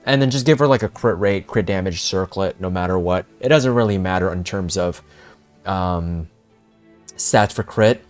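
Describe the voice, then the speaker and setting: A man's voice: deep voice, normal speed, faint music behind. A man chatting casually and informally as a game streamer on a livestream. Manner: informal, conversational. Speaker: game streamer reacting to a quest.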